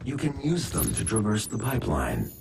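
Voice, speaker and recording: An actor playing a calm artificial intelligence in a video game, giving measured, informative mission briefings. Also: male voice, fairly low pitch, heavy background noise. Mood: matter-of-fact. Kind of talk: video game cutscene acting.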